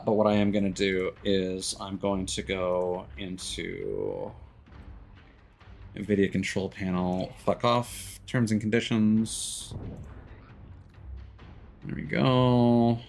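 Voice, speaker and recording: A man live streaming a game, thinking aloud in casual, halting, muttering talk with some swearing. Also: fairly low pitch, a little hiss or hum.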